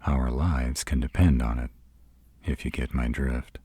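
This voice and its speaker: A man playing a sarcastic, brooding vampire with a soft spot, dry and grudging, in a roleplay audio drama. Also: rumbling low, fairly even intonation, a little slow, slightly rough, dry recording, full character voice. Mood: grudging, sardonic.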